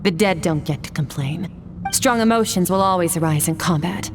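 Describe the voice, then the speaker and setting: Woman acting a cool, low-voiced warrior mentor. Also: over music, lightly acted. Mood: stern. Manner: composed, blunt, measured. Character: cool, stoic woman sword master training a pupil. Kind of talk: video game voice acting.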